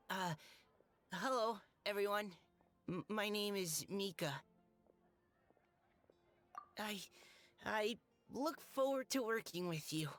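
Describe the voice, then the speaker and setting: A timid boy soldier in a video game speaks formally and haltingly. He stammers politely over faint background music. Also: high voice, expressive, a bit bright, full character voice. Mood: nervous. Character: shy, nervous, polite young soldier boy. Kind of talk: video game character acting.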